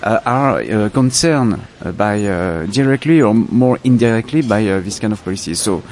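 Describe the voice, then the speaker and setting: Low-voiced French man, halting. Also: expressive, a little mellow, a little hiss or hum. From France. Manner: halting, searching for words, reflective. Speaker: filmmaker, interview guest. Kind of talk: festival interview.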